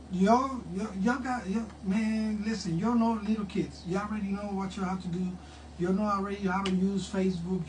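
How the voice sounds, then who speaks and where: A man's voice: sits high, darkish tone. A talkative older man with a grandson, hosting a casual promo interview and hyping a fight. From Puerto Rico. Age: has a grandson. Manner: hyped, talkative, switches into Spanish. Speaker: host. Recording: distant mic with faint ambient noise.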